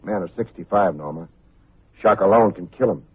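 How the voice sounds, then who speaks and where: Raspy, wry hard-boiled private eye. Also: male voice, low-pitched, brisk. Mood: probing, dry. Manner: dry, wisecracking, clipped noir phrasing. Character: wry, hard-boiled male private investigator, coolly unflappable. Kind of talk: 1950s radio detective drama acting. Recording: muffled phone-like vintage radio recording.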